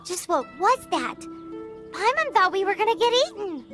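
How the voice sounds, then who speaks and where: A woman voicing a tiny, chirpy, childlike fairy companion in a video game, excitable yet cautious and cutesy in manner, with music behind her. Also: very high voice, normal speed. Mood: chirpy, relieved. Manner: talks about self in third person, cutesy. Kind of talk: English video game dub acting.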